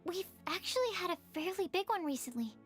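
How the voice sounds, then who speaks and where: A woman voicing a gentle, considerate young female researcher in an anime game, soft and slightly timid. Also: extremely high pitch, expressive, a little fast. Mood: polite, friendly. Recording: music behind the voice. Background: background music.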